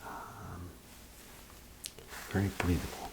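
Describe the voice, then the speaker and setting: A man doing an ASMR role play as a clothing salesman, with gentle, hesitant, unhurried patter. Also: low voice, little pitch movement, background noise.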